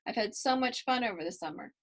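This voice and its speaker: A woman voicing an excitable, loving young granddaughter in a children's storybook, eager and girlish. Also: fairly high pitch, fast talker. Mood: reluctant.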